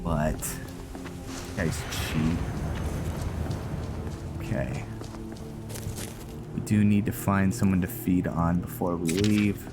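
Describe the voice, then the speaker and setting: A man giving casual, rambling gameplay commentary, halting often as he thinks aloud. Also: deep voice. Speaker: gaming video host. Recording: music behind the voice. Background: game music.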